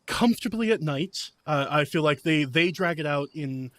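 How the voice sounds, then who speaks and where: A man's voice: on the high side, lively intonation, moderate pace, a bit bright, no room sound. A man playing a tabletop role-playing game, casually describing his character and the scene. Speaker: player.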